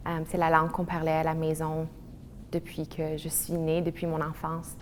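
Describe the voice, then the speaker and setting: A woman's voice: low voice, completely flat delivery, normal speed, slight background noise. A woman, speaking articulately and reflectively in a personal testimony. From Manitoba, Canada. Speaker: interviewee. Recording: echoey room.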